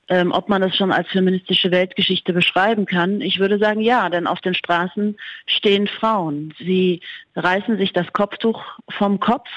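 A woman's voice: mid-range voice, dry recording, lo-fi sound. A woman journalist from Germany answering interview questions, building emphatic lists as she speaks. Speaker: journalist guest.

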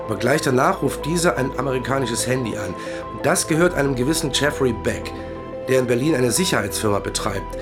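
An actor playing a practical police detective in a crime radio drama, matter-of-fact in tone. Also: male voice, medium pitch, expressive, a little fast, music in the background, lightly acted. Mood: factual. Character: male police detective, practical colleague and narrator.

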